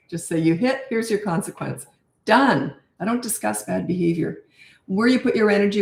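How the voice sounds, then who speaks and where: An older woman, a parenting coach, talking blunt and chatty with a raspy voice on a live-stream Q&A. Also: fairly low pitch, darkish tone, reverberant.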